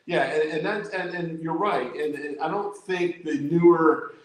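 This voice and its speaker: A man's voice: fairly high pitch, a little slow. A man, a guest who tells stories eagerly in casual chat with many "you know"s. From Virginia, USA. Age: 60s. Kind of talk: casual live-stream chat. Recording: very echoey room.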